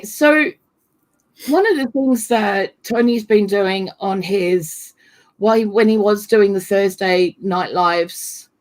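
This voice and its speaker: A hoarse woman hosts a casual live-stream chat over a video call, talking chattily with frequent laughs. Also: mid-range voice, a little mellow, rough recording. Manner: chatty, laughs often, fillers like "you know". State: losing her voice, hoarse. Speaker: host.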